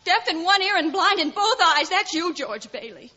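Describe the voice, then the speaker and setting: A woman's voice: very high voice, expressive, moderate pace, sharp tone, highs cut off, heavily acted. An actress playing a sassy, teasing young small-town woman in a 1940s radio drama. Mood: exasperated, teasing. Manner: sassy, pert. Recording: band-limited 1940s radio recording.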